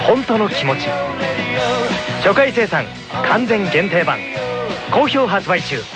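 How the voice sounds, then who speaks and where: A man's voice: high voice, very animated, takes it slowly, heavily acted. An actor playing an earnest young male anime character in a scripted CD advert, announcing in clipped phrases. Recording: loud rock guitar music behind.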